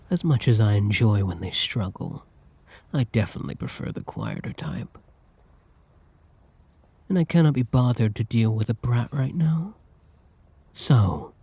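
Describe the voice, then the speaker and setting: A man performs as a masked male villain in an intimate ASMR audio drama. His voice is dark and breathy, often close to a whisper, and he speaks very slowly. He taunts and orders his hostage around with flirty, condescending menace. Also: lowish voice, exaggerated voice. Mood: smug, flirty.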